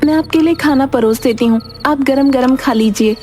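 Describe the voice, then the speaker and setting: A woman's voice: sits high, moderate pace, warm tone, soft background music, lightly acted. A woman playing a caring, concerned village wife in cartoon story dialogue, speaking solicitously.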